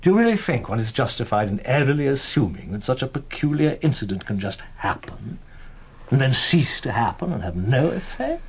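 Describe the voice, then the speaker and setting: A persuasive British intelligence officer in a radio play. His voice is gravelly and a little rough, of middling pitch. He talks formally and deliberately, with lively rises and falls and a quietly ominous edge. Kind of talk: British radio drama acting.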